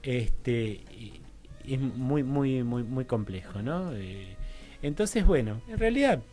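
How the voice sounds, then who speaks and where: A man with an Argentine Rioplatense accent, speaking as an interview guest in a halting, digressive way and often checking for agreement. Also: mid-range voice, expressive, over music. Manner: halting, digressive, seeks agreement with frequent tag questions. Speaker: interview guest, social researcher. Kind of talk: informal radio interview explanation. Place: radio studio.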